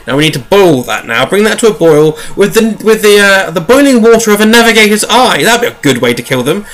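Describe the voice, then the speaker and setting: A man with a bright, forward voice sitting a little high in pitch. He talks as a podcast host giving a casual recap. His delivery rambles and is sprinkled with dry, jokey asides. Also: big pitch swings, a little fast. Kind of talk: casual chatty book recap.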